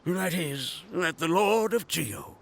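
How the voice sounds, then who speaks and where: An actor playing a pompous, self-important historian in a video game, boastful and grandiose. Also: male voice, fairly high pitch, lively intonation, takes it slowly, vocal fry, a bit bright, faint music behind, full character voice.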